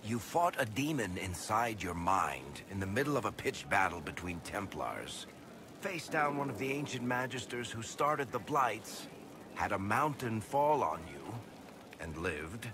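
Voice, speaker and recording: An actor playing a wry, world-weary dwarf storyteller in a video game, with a sardonic, casual way of talking. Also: male voice, lowish voice, sing-song, a little slow, noisy background. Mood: awed, wry.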